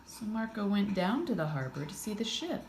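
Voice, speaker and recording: A woman's voice: mid-range voice, very animated, normal speed, a little mellow. A woman reading a picture book aloud expressively, with chatty asides to her listeners. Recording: roomy echo, faint background noise.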